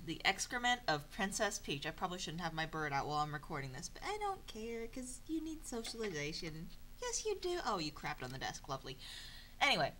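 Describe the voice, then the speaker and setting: A woman's voice: on the low side, wildly swooping pitch, brisk, fairly bright tone, slight background noise, low-quality recording. A woman doing an informal dramatic reading of a story, with sardonic, exasperated delivery and casual asides. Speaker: narrator of a comedic fan fiction reading.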